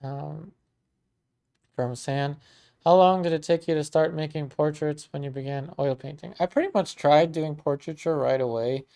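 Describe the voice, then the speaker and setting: Relaxed chatty man with a gravelly voice. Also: fairly high pitch, moderate pace. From Maryland, USA. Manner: relaxed and chatty, many ums and restarts, warm thanks to viewers. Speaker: art teacher livestreaming a painting session. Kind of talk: casual livestream chat, reading viewer comments.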